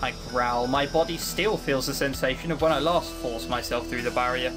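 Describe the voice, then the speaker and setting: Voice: mid-range voice, normal speed, music in the background, voice pushed down, lightly acted. A voice actor playing an ancient fiend trapped in a circle for centuries, mocking and brooding, breaking into anguished outbursts, in a first-person audio roleplay.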